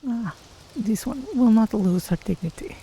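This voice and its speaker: Man performing a cat-person game hero, soft dark tone, creaky, courteous, measured phrasing. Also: high voice, rough recording. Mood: proud, courteous. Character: proud, courteous Khajiit cat-person adventurer, third-person speech. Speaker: streamer voicing own game character. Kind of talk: in-character game role-play commentary.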